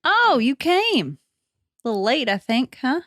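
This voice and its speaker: A woman playing a sassy, confident gunslinger in a tabletop western, trading drawn-out challenges. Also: high-pitched, sing-song, a bit bright, dry recording, clean studio sound, full character voice. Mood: cocky, taunting.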